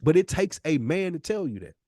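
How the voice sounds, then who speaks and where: Animated, higher-pitched man with an American accent. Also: a little fast, dry recording, clean studio sound. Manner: emphatic, slangy, swearing. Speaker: podcast host. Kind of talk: casual, impassioned discussion.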